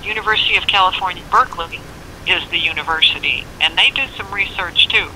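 An American government records officer explaining things informally and haltingly over a phone speaker, in a helpful way. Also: medium pitch, pushed and bright. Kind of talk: casual explanatory phone conversation. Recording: noisy speakerphone call picked up outdoors.

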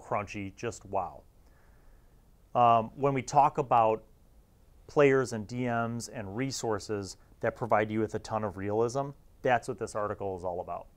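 A man in his forties hosting a casual review, talking conversationally with emphatic asides into a lapel mic. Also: on the low side. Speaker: host of a tabletop gaming review series. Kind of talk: casual review and commentary on a magazine.

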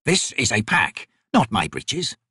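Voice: medium pitch, sing-song, fairly bright tone, husky, no echo, studio quality, heavily acted. A narrator playing a meek, nervous old male trader in an audiobook, hesitant and fussily formal. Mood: cautious, fussy. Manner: slow, hesitant, formal and fussy.

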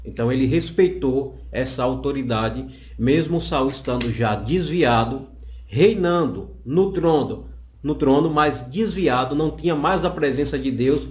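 A man's voice: medium pitch, measured pace, a little mellow. Brazilian man teaching in an echoey room. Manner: didactic, repeats phrases for emphasis. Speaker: Bible teacher. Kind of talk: explanatory Bible lesson, spoken freely. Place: small indoor office. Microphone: condenser microphone on the desk. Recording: roomy, echoing small office.

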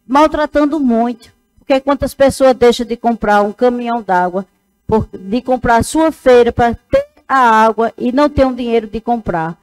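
A woman's voice: on the high side, a little mellow, low-quality recording. A woman, giving an earnest speech at a council session into a lectern microphone. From Northeast Brazil. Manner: plain earnest speech with fillers, addresses colleagues. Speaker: city councilwoman.

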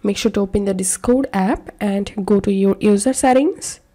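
A woman narrating a step-by-step software tutorial in short, instructional phrases. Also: medium pitch, moderate pace. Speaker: tutorial presenter.

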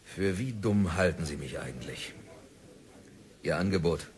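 A man's voice: sits low, expressive, measured pace. A man playing a stubborn, indebted prospector in a 1960s radio drama, curt and defiant.

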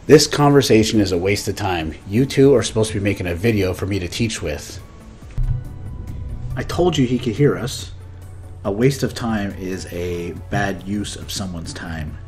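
A man's voice: on the low side, normal speed, a little mellow, faint music behind. A man in his forties teaching English with matter-of-fact definitions and scripted dialogue.

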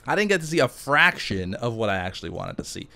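A man's voice: medium pitch, sing-song, fast talker, vocal fry, sharp tone. Rambling man chatting over gameplay. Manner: rambling, thinking aloud. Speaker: gaming YouTuber. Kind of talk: casual gameplay commentary with question-and-answer chat.

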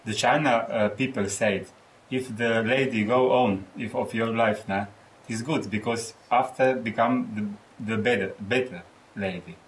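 A middle-aged Slovenian man in the audience, speaking in a halting, broken English with his native Slovenian accent. His voice is of ordinary pitch, with faint noise in the background of the room. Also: fairly even intonation, reverberant, low-quality recording. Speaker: audience member.

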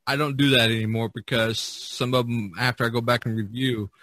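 Bright-voiced man hosting a casual podcast. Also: mid-range voice, steady tone, a little fast, no echo. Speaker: podcast host. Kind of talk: casual chat, reading out figures.

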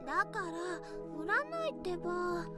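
A woman voicing a sweet, childlike teenage girl in a Japanese visual novel, soft, plaintive and cutesy. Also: extremely high pitch, sing-song, unhurried, exaggerated voice. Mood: pouting. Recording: game music playing behind.

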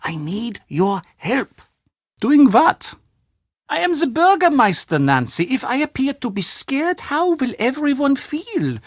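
A man voicing a fussy, excitable, self-important German burgomaster in a video game, with a pompous, emphatic manner and a German accent. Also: very high voice, measured pace, no room sound, studio quality, heavily acted. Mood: anxious, officious. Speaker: castle Bürgermeister. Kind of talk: video game character acting.

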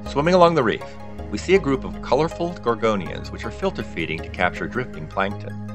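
A man reading scripted nature documentary narration as a diver, in an explanatory manner with measured sentences. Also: on the low side, sing-song, music in the background.

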